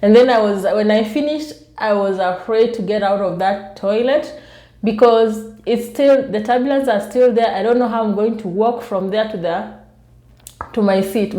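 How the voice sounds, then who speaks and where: Soft-spoken Kenyan woman, mellow and roomy. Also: medium pitch, moderate pace. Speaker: YouTube vlogger. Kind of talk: casual storytelling to viewers. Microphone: newly bought external microphone.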